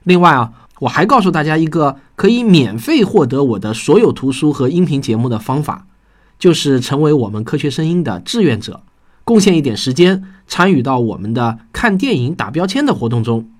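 A man's voice: on the high side, sing-song, fairly quick. A man hosting a podcast and talking to listeners about his own writing in an earnest, explanatory way. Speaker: podcast host and science fiction writer. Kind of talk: scripted podcast talk to listeners.

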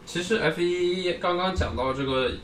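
A man's voice: sits high, normal speed, a loud crowd. A man hosting a casual motor racing chat, steering the conversation from one topic to the next. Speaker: podcast host. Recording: roomy, distant mic, faint noise.

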